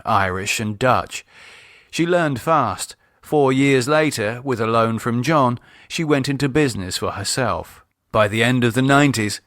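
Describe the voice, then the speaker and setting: A man's voice: medium pitch, a bit bright, no echo, studio quality. A man reading his own novel as a scripted audiobook narration.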